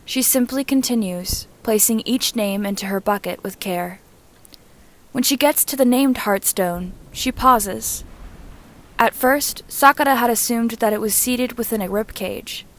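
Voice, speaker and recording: A woman reading a story aloud as scripted narration, in a measured, descriptive manner like an audiobook reader. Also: fairly high pitch. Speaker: reader and narrator of fan fiction.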